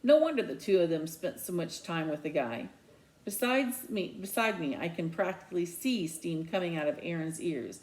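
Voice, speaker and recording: A man's voice: a little high, lively intonation, moderate pace, lightly acted, low-quality recording. A woman voicing a resentful, sarcastic middle-school boy in a children's novel read aloud. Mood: indignant, sarcastic. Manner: indignant, sarcastic.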